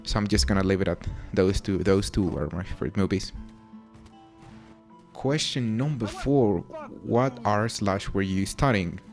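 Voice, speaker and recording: Young Mexican man with a low voice. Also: a little slow. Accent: Mexican with British touches. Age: young adult. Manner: relaxed, chatty, self-deprecating with frequent fillers. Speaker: YouTuber. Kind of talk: casual Q&A to camera. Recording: faint music underneath.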